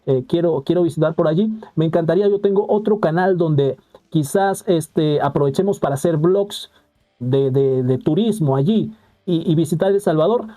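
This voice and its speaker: Dark-voiced man hosting a live stream. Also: on the high side. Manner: halting, many "eh" fillers and restarts, reads out viewer comments. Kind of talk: live commentary reacting to a news clip.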